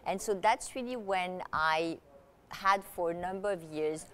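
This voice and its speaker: A woman's voice: fairly low pitch, unhurried, fairly bright tone. A woman who grew up in Italy, a guest in an interview, talking through her career in a chatty way with many fillers and restarts. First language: Italian. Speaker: guest, luxury consultant and author. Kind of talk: conversational interview.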